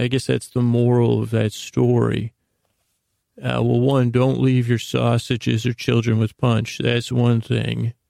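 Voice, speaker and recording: A man hosting a whimsical solo podcast, meandering and self-deprecating, with gently absurd asides and sentences that trail off and restart. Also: mid-range voice, vocal fry, no room sound, clean studio sound. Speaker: podcast host. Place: small closet indoors, dry sound.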